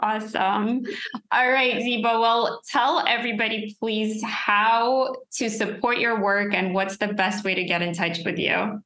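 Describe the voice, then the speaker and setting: Woman, podcast host, encouraging, bright tone, roomy echo. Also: medium pitch, flat delivery, measured pace. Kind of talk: casual interview chat.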